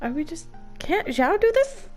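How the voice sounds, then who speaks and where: A woman's voice: extremely high pitch, sing-song, measured pace. A woman doing casual, playful commentary as she streams a game, talking back to the characters. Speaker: gameplay streamer. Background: game music.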